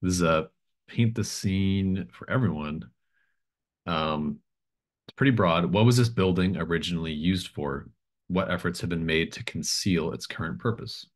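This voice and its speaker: A middle-aged man runs a tabletop game in a very low voice. He describes scenes off the cuff, pausing as he thinks aloud. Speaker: game master. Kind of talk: narrating a tabletop game, improvised. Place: home room with bookshelves, video call.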